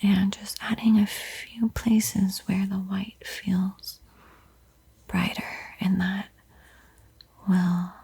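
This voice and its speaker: Woman whispering slowly, very breathy ASMR. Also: medium pitch. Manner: slow, gentle, encouraging instruction. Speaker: host teaching a beginner painting tutorial. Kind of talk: whispered ASMR art tutorial.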